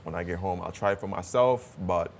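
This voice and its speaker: A Jamaican man chatting casually as a travel vlogger, relaxed and talkative with some stammered restarts. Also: sits low, fairly quick, a little hiss or hum. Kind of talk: casual travel vlog chat.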